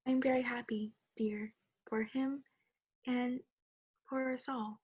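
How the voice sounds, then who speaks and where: Young actress as mourning mother, gentle and consoling, breathy higher register, dry radio drama sound. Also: fairly even intonation, slow, dry recording. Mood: tearful, tender. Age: young adult. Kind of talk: theatrical radio play acting.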